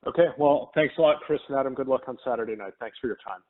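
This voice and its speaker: A man's voice: mid-range voice, fast talker. A male reporter politely putting questions at a press conference over a telephone. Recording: crackly narrow phone line.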